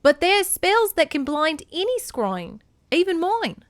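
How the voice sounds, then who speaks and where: A haughty queen goddess with an Australian accent. Her storybook voice is high, proud and pointedly scornful. It is recorded dry. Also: very animated, unhurried, dry recording, heavily acted. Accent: Australian (put on).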